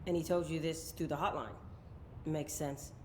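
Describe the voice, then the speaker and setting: An actress playing a brisk, no-nonsense head of operations in a video game, clipped and authoritative. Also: female voice, sits low, steady tone, noisy background, natural voice. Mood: commanding. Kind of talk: video game cutscene acting.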